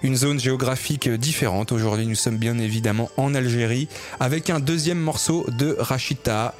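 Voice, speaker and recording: A man's voice: mid-range voice, fairly quick. A man hosting a music radio show, chatting casually with many fillers and restarts.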